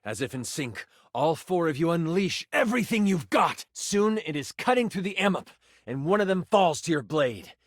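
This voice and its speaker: Dramatic fantasy game master, animated. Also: male voice, on the high side, moderate pace, no echo, clean studio sound. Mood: excited, triumphant. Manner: dramatic, suspenseful storytelling. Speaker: voice actor as a game character. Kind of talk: theatrical second-person fantasy narration.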